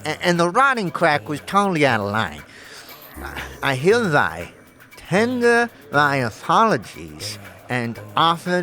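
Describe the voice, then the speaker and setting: A man's voice: on the high side, fairly bright tone. A man playing a sarcastic, grumbling undead skull sidekick in an audio drama, stammering and wheedling as he goes. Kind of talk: audio drama character acting.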